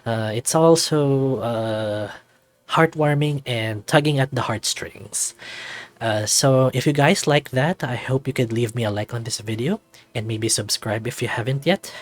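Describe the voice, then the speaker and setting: A host with a medium-pitched voice reads visual novel narration in an expressive storytelling way. The delivery turns casual when speaking to viewers, and the recording is close and dry. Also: measured pace, no room sound. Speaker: video host and reader.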